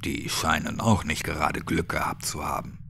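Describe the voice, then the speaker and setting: A man's voice: extremely low pitch, little pitch movement, normal speed, gravelly, background noise, voice pushed down. A man voicing a shaken, refined man who protests in a formal, subdued way, in a horror audiobook. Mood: shocked.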